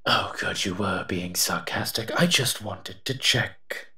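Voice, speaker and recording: Man acting a slow-talking, sardonic towering monster. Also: on the low side, sharp tone, exaggerated voice. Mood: sarcastic, teasing. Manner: teasing, sardonic, muttering asides. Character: eight-foot male smoke monster, sardonic, menacing, protective. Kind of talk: scripted roleplay audio drama.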